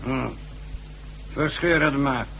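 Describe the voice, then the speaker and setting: An actor playing a smug, commanding villain chief who speaks deliberately in a radio drama. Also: male voice, medium pitch, gravelly, pushed and bright, heavily acted, poor audio. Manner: deliberate, commanding, self-satisfied. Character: smug, bossy male villain chief persuading underlings. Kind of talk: science fiction radio drama acting. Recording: old radio drama with faint hum.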